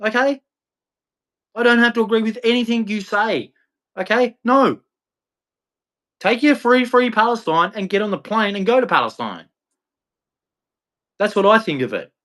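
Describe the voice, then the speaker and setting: A man's voice: sits high, lively intonation, moderate pace, pauses often, fairly bright tone. An Australian man streaming a blunt, confrontational rant to his chat, ending with a preachy religious sign-off. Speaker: live streamer. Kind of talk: ranting monologue to chat.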